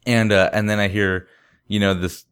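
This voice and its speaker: A man's voice: on the low side, little pitch movement, moderate pace, a bit bright, clean tone. A man telling a casual story as a podcast co-host, in slangy, profane talk with acted-out quotes. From San Diego, California, United States. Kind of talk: casual chat, anecdote telling.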